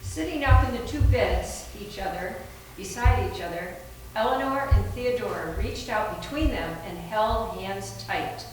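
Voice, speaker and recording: A woman's voice: medium pitch, lively intonation, a little slow. A woman giving a dramatic scripted narration of a horror story. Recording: very echoey room, distant, faint noise.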